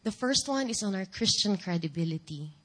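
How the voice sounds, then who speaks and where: A woman with a Filipino accent giving a church testimony in deliberate public speech, with rhetorical questions. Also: medium pitch, lively intonation. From Philippines. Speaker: guest speaker giving testimony. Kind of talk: church sermon and personal testimony.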